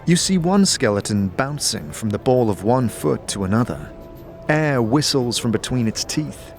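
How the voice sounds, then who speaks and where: A man's voice: mid-range voice, expressive, normal speed, faint music behind. A man giving scripted fantasy narration as a game master, in a descriptive storytelling manner.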